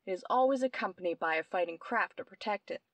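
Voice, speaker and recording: A woman's voice: mid-range voice, expressive, fast talker, no echo, lightly acted. An actress playing a brilliant young woman detective, explaining her deductions precisely in old-time radio mystery style. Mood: intent, explanatory.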